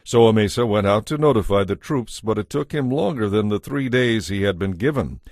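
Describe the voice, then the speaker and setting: A man narrating scripture from a script in a measured, formal manner. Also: on the low side, lively intonation, darkish tone, no echo, studio quality.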